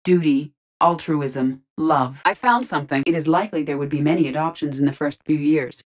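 A woman voicing a calm, curious, literal-minded female ship artificial intelligence in a video game, speaking formally and precisely. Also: low voice. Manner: measured, formal, precise diction. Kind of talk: scripted video game character dialogue.

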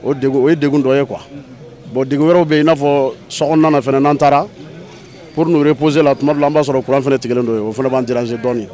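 A man in his thirties with a mid-range voice answers a street reporter outdoors. He is measured and deliberate, speaking into a handheld mic. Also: mid-range voice, steady tone, dark tone. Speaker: street interviewee. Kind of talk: vox pop answer. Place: outdoors by parked cars. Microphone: handheld mic held by interviewer.

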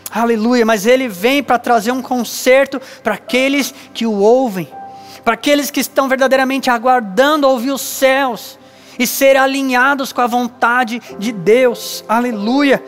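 A man in his thirties with a Brazilian Portuguese accent, a pastor giving an earnest, measured sermon with emphatic pauses on a church stage. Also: very high-pitched, faint music behind. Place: dark church stage with lights, indoors.

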